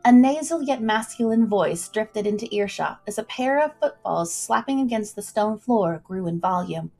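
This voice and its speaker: Woman reading an adventure story, higher voice, suspenseful n expressive, soft music in the background. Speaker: storyteller, livestream host. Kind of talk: dramatic scripted story narration. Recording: music behind the voice.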